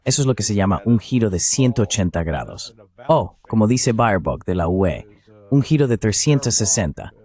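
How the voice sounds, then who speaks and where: A man's voice: lowish voice, darkish tone. A man reading a translated voice-over of a political commentator in an interview.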